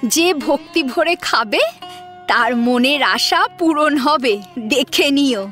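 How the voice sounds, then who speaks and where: A woman playing a kindly, devout elderly grandmother with a slow, tender manner in an audio drama. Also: sits high, lively intonation, a bit bright, soft background music, exaggerated voice. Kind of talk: Bengali audio drama acting.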